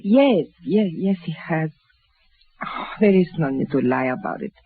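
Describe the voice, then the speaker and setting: An actress playing an anguished, proud Mexican don's wife in a 1950s radio drama, with an emotional, curt delivery. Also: female voice, low-pitched, animated, a little slow, a little hiss or hum. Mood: distraught. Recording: muffled vintage radio recording.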